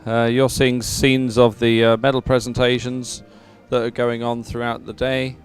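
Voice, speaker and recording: A man giving live sports commentary as an event announcer, in a measured, hesitant way with frequent pauses. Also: mid-range voice, little pitch movement, smooth, faint music behind.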